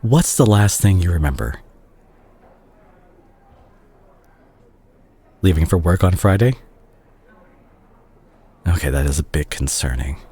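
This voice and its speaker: Man playing a deep, husky doctor ex-boyfriend. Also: lively intonation, moderate pace, warm tone, heavily acted. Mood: gentle, grave. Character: doctor ex-boyfriend, bitter, remorseful yet caring. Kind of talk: intimate scripted audio roleplay to the listener.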